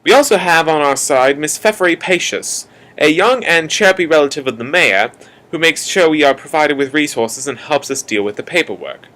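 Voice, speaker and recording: A man's voice: a little high, lively intonation, a bit bright, low-quality recording. Man playing a theatrical, earnest radio-play announcer. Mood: wry, confiding. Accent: Australian. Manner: theatrical, emphatic, with drawn-out key words. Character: earnest male announcer introducing a city police force. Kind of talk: dramatic scripted narration.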